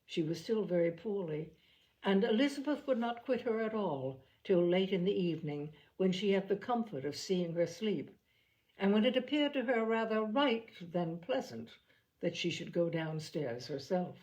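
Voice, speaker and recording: A woman narrating a classic novel as an audiobook in an expressive literary storytelling style. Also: medium pitch, normal speed, poor audio.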